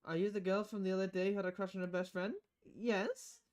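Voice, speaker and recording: A woman's voice: mid-range voice, flat delivery, fairly quick, darkish tone. A woman streaming a visual novel, reading the dialogue aloud with casual, playful commentary and switching voices between lines.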